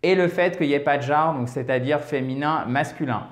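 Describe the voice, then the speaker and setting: A man from France giving an online English lesson, explaining step by step with examples and checking in often with quick "ok?" and "d'accord?" asides. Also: on the high side, roomy sound. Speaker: English teacher.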